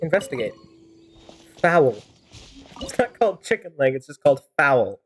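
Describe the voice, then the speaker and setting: A woman's voice: low voice, very animated, moderate pace, husky. A woman game streamer improvising wry, teasing commentary and joking replies to the characters. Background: game music.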